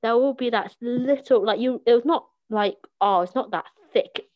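Soft, hesitant young British woman. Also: on the high side, normal speed. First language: Cantonese. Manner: soft-spoken, hesitant, many fillers. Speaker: podcast co-host. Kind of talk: casual chat. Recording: slightly muffled lo-fi recording.

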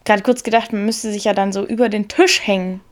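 A woman co-hosting a casual podcast chat about a gym, quick-witted and teasing. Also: mid-range voice, fairly even intonation. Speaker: podcast co-host, gym trainer.

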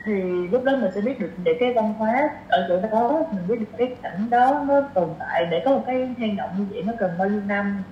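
A woman's voice: mid-range voice, quick pace, few pauses. Southern Vietnamese woman chatting, echoey room. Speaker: podcast guest. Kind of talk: casual conversational interview. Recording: echoey room, muffled, faint noise.

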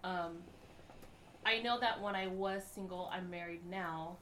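Woman, late 30s, low and hesitant, echoey room. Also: fairly even intonation, unhurried, fairly bright tone, slight background noise. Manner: hesitant, many "um"s, laid back. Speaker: co-host. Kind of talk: casual podcast chat.

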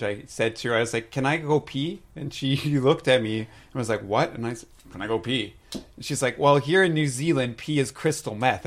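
A man in his thirties telling funny stories in casual chat, animated and laughing at his own jokes. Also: mid-range voice.

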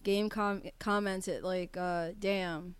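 A young adult woman co-hosting a podcast, talking in a chatty, animated way with plenty of swearing. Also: fairly low pitch, clean tone, dry recording. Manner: chatty, animated, profane, quick to laugh. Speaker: podcast co-host. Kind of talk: casual chat.

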